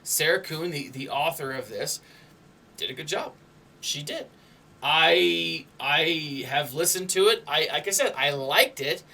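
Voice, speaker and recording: Bright-voiced man, emphatic casual commentary. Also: a little high, a little slow, creaky, distant mic. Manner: emphatic, conversational, repeats "right?" as a tag. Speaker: YouTube host and reviewer. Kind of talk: casual opinionated commentary to viewers.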